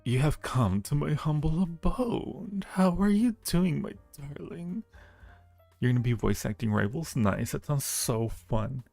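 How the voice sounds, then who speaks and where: A man's voice: a little high, animated, a little slow, vocal fry, mellow, dry recording. A man hosting a livestream, chatting casually and playfully with viewers and speaking to them affectionately. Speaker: livestream host and audio roleplay creator. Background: background music.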